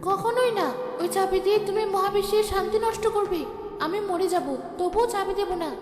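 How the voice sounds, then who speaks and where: A woman's voice: extremely high pitch, fairly even intonation, brisk. A woman voicing a proud young fairy in a cartoon fairy tale, earnest and dramatic. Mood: defiant. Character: defiant young female fairy, proud and pleading. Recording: echoey hall reverb, music behind.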